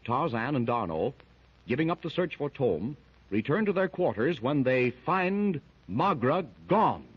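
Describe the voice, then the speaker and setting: A man announcing a 1930s radio adventure serial and recapping the story in a clear, measured way. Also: mid-range voice, very animated. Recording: dull lo-fi 1930s radio transcription.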